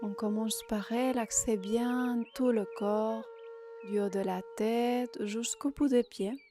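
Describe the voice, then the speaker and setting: A woman guiding a meditation as a yoga nidra teacher, in short, unhurried phrases with long pauses, giving soothing instructions. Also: fairly high pitch, fairly even intonation, over music.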